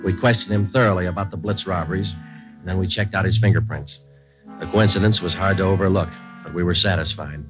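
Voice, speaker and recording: A man acting as a stoic American detective from a 1940s radio play. The voice sits low and delivers everything in terse, matter-of-fact phrases. It is an old radio recording, with music clearly behind. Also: fairly even intonation, quick pace, highs cut off, close to an everyday voice. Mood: flat, businesslike. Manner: clipped, matter-of-fact, understated. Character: terse, stoic male police detective sergeant, dutiful and businesslike. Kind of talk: 1940s radio crime drama acting and narration.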